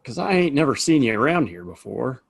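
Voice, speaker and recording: A man playing a weary Old West saloon keeper in an audio play, husky, hesitant and folksy. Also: mid-range voice, very animated, brisk, full character voice. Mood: curious, folksy. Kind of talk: Western audio play acting.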